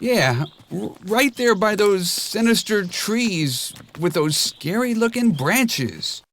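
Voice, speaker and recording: A man voicing a fast-talking, chatty male donkey sidekick who talks high and excitable. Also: a little hiss or hum, full character voice. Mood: nervous.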